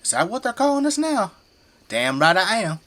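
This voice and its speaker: A man's voice: high voice, big pitch swings, moderate pace, a bit bright. Folksy, jovial Midwestern family man. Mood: jovial, frank. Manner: folksy, teasing, joking. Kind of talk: audio drama acting.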